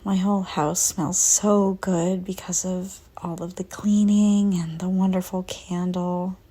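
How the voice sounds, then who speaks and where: Female meditation narrator, slightly low and mellow, breathy near-whisper delivery, gentle, unhurried scripted read. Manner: calm, gentle, unhurried with long pauses. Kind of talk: slow guided visualization, soothing scripted narration.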